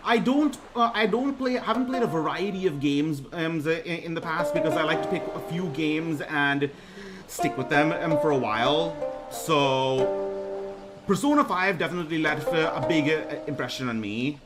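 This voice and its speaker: A young man with a baritone voice introducing himself on his debut stream, talking haltingly with lots of ums and restarts. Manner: hesitant, many ums and restarts. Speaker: VTuber streamer. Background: music playing.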